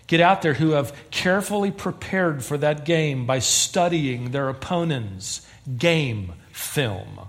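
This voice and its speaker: Emphatic high-voiced male preacher. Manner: earnest, emphatic preaching with rising intensity. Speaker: pastor. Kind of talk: sermon.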